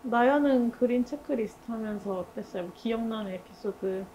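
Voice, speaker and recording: A woman with a fairly high voice leads a relaxed group conversation. She talks in a chatty, storytelling way, as if among colleagues she knows well. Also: a little fast, a little hiss or hum, rough recording. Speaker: interviewer and project member leading the discussion. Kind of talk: casual group discussion.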